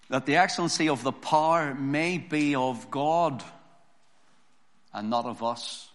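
A hoarse man preaching a sermon, reading scripture slowly and building to emphatic preaching. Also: medium pitch, fairly bright tone. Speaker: pastor.

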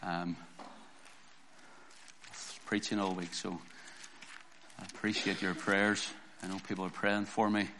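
A hoarse man preaching a sermon, reading scripture slowly and building to emphatic preaching. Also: deep voice, level tone, a bit bright, slight background noise. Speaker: pastor.

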